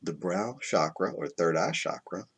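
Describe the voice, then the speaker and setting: Deep-voiced man reading aloud, slightly husky. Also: animated, a little slow, fairly bright tone. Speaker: reader of a self-help book. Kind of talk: read-aloud spiritual nonfiction narration.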